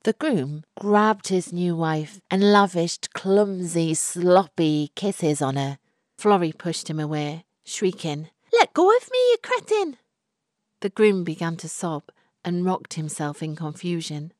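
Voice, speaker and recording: A woman reads scripted fiction as an audiobook narrator. Her voice is low and her pace slow, with deliberate, dramatic phrasing. The recording is close and dry, free of room sound. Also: a little mellow, no echo.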